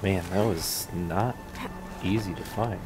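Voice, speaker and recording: A man's voice: low voice, slow. A man giving casual, laid-back let's play commentary as a gaming host, thinking aloud. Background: faint game music.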